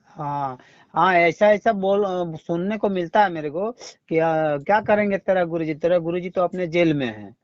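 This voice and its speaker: A man in a casual phone conversation, agreeing in short affirmations and phrasing things haltingly. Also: high-pitched, no room sound. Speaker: caller, fellow devotee. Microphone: phone call. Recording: dull lo-fi phone call recording.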